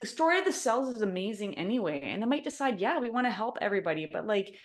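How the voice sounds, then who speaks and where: A woman's voice: mid-range voice, big pitch swings, quick pace. A woman in a casual book club discussion, arguing her point in an indignant way.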